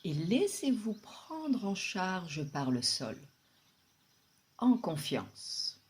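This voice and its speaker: A woman guiding a deep relaxation meditation in a calm, soothing, unhurried way, with long pauses. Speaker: yoga nidra guide.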